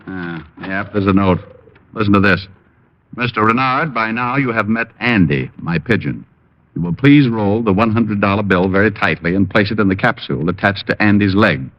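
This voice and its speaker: Man playing cocky private eye, low voice, sardonic, breezy, noir radio drama, dull vintage recording. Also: normal speed, natural voice. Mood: wry. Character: wisecracking, cocky private detective. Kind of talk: 1950s radio drama acting and noir narration.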